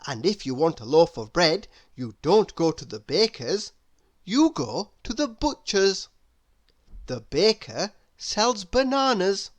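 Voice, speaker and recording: Very animated woman reading a storybook. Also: lowish voice. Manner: deliberate, expressive storytelling. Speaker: storybook narrator. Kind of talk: scripted children's read-aloud narration.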